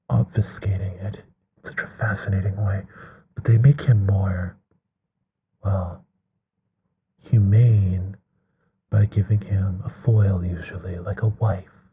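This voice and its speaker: A man playing a gentle, bookish vampire companion in a soothing ASMR roleplay, half-whispering in a hushed, musing way with trailing pauses. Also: lowish voice, breathy edge.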